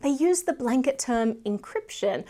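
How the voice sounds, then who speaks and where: High-pitched woman presenting an explainer. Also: lively intonation, normal speed, warm tone. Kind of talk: scripted explanatory narration.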